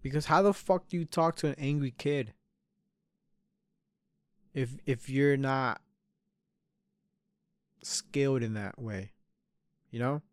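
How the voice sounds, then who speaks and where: A man's voice: medium pitch, slow, long pauses, no room sound, clean studio sound. A man in his early twenties hosting a solo podcast, speaking candidly, swearing often and trailing off into restarts. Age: 20s. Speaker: podcast host. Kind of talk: solo reflective podcast monologue.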